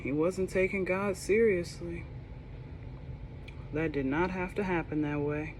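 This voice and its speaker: A woman reading a children's Bible storybook aloud, slow and expressive, with soft whispered moments and playful asides to young listeners. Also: on the low side, slight background noise. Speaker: storybook reader.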